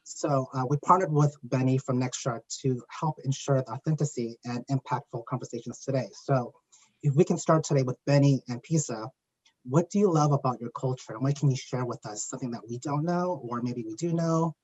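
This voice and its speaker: A man moderating a panel discussion in a measured way, with frequent fillers. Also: medium pitch, slightly rough. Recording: dull lo-fi sound.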